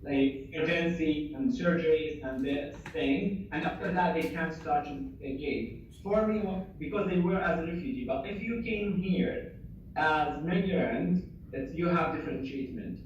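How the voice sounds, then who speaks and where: A man's voice: a little high, a little slow, recorded from a distance, lo-fi sound, a little hiss or hum. Man on an echoey video call. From Syria. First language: Arabic. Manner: earnest, many fillers, restarts phrases. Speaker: interviewee, refugee and former assistant doctor. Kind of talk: casual conversational interview. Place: home in Germany.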